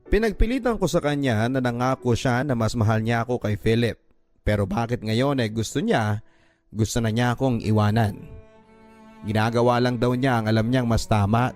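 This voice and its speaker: A man narrates a listener's confession letter in the first person, earnest and heartfelt. His voice sits at an ordinary middle pitch, and soft music plays under the reading. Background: faint background music.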